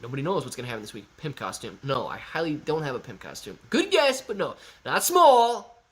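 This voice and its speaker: A man in his thirties hosting a live stock market Q&A, reading viewer chat with playful, animated banter. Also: fairly high pitch, fairly quick. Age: 30s.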